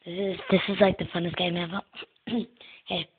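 A young woman casually playing a guessing game on her channel, soft-spoken and answering in short words. Her voice is breathy and low-pitched. It comes through a tinny, phone-like recording. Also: level tone, normal speed. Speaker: gaming channel host. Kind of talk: casual gameplay commentary.

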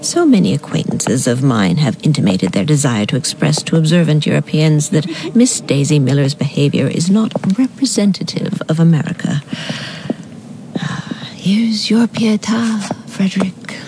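An actress playing a discreet, disapproving older society lady in a radio drama, speaking in a hushed, confiding way. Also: low-pitched, moderate pace. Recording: noisy ambience around the voice.

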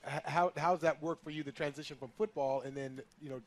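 A man co-hosting a sports talk show on a headset mic, chatty and quick to laugh. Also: a little high, steady tone. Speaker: co-host.

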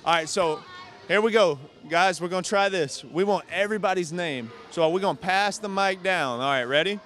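A man's voice: high voice, normal speed, fairly bright tone. Upbeat young male reporter, handheld mic. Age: young adult. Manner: upbeat, encouraging, repeats short affirmations. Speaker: TV sports reporter. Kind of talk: on-site interview with kids. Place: large indoor gym. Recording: noisy gym with bouncing balls.

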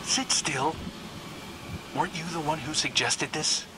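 A man's voice: a little high, unhurried, noisy background, close to an everyday voice. An actor playing an earnest, dryly teasing young male scout in a video game. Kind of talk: scripted game dialogue. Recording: loud music and game sounds behind.

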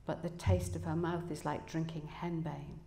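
A woman's voice: level tone, normal speed, vocal fry, mellow, slight background noise. A woman voicing a bitter, fearful runaway husband in a novel reading, speaking in a cold, accusing way. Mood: bitter, haunted. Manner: low-voiced, cold, accusing. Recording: echoey room, public reading.

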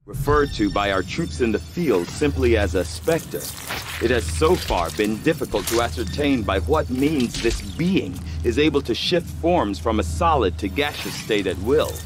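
A man plays a learned scientist in a video game. He narrates in a formal, precise way at an ordinary pitch, quietly fascinated, with clear music underneath. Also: animated, unhurried, close to an everyday voice. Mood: clinical curiosity. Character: learned male alien-autopsy scientist, measured, fascinated, formal. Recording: music behind the voice.

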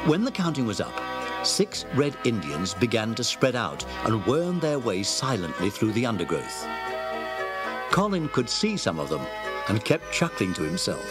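A man narrating a children's story in a clear, storytelling manner. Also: fairly low pitch, very animated, moderate pace, over loud music.

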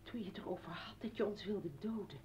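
An actress playing a hurt, scornful ex-wife in a radio play, sarcastic and accusing. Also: female voice, medium pitch, fast talker, dark tone, a little hiss or hum, close to an everyday voice. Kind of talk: Dutch radio play acting. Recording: dull, distant old radio recording.

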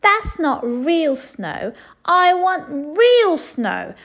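Little princess for a storybook, woman performing: young, bossy, whiny, high-pitched, dragging out every demand. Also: very animated, a bit bright, heavily acted. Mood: furious, stubborn. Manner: squealing, whiny, drawn-out demands.

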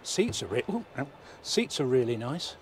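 A man's voice: medium pitch, very animated, moderate pace. Chatty man touring a van. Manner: chatty, thinking aloud, mild remarks. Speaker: motorhome vlogger. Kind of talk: casual walkaround commentary. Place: inside a motorhome at an indoor trade show. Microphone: handheld camera mic. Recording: noisy exhibition hall.

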